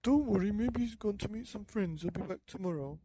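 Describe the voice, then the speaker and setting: Man doing a high-voiced kindly old man. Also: lively intonation, fairly quick, dark tone, husky, dry recording. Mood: reassuring. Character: very old man, kindly, reassuring, easily startled.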